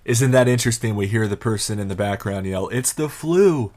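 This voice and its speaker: A man's voice: on the low side, expressive, fairly quick, a little mellow, a little hiss or hum. A man giving conversational commentary on the news, addressing viewers directly.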